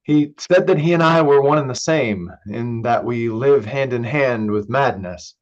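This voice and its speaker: A man playing a troubled young man struggling with alcohol, halting and raw, in a staged play reading. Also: mid-range voice, lo-fi sound, natural voice. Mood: confessional, subdued.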